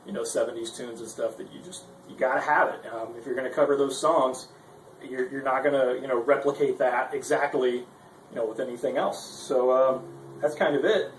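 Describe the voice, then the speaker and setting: A man's voice: mid-range voice, fairly even intonation, moderate pace. A man giving a casual, informal instructional demo, speaking hesitantly and with some self-deprecation. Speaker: guitarist giving a gear demonstration. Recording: roomy, distant mic with background noise.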